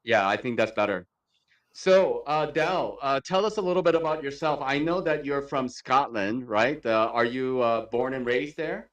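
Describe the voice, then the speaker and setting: Guy hosting a livestream interview, mid pitch, polite, lots of uhs. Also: normal speed, a bit bright. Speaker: interview host. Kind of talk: live stream interview.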